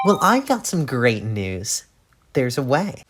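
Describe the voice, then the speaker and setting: A scheming young troll girl, played by a woman, talks very low and unhurried, with swooping intonation. She is bossy, teasing and condescending, an audio drama schemer. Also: very animated, slightly rough, heavily acted. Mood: sly, cocky.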